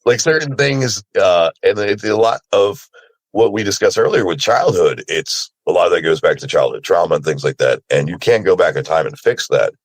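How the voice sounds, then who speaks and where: A man's voice: deep voice, animated, moderate pace, no echo. A man talking casually and candidly about himself, in an informal way, often checking in with the listener. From Sri Lanka, moved to America as a child. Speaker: panelist, voice actor. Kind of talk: casual, candid personal conversation.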